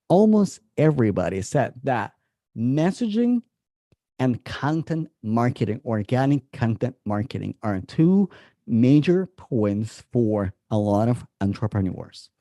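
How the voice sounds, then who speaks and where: A man in his thirties with a Russian accent coaches an online class in an informal way. His tone is dark and mellow, at an ordinary male pitch. He often circles back to restate a phrase, and the sound is dry and close, with little room in it. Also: dry recording. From Moscow, Russia. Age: 30s. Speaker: business coach hosting a masterclass.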